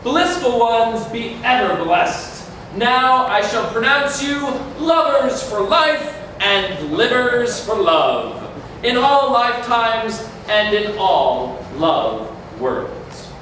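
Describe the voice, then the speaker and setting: A man playing a solemn, rapturous officiant at a mystical wedding, intoning a reverent, drawn-out blessing in a stage reading. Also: extremely high pitch, big pitch swings, sharp tone, full character voice. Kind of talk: ceremonial staged reading with slow sung chanting. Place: community hall stage. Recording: very echoey hall, distant mic, faint noise.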